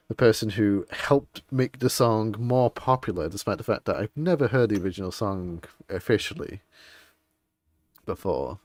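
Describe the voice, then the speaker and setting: A man's voice: fairly low pitch, no echo. A man streaming a game and chatting casually, rambling through his opinions in an informal, grumbling way.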